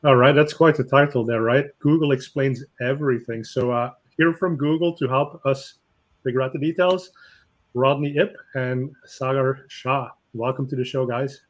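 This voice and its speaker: A man hosting a marketing webinar, talking in an energetic, conversational way with fillers. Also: mid-range voice, moderate pace. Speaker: host.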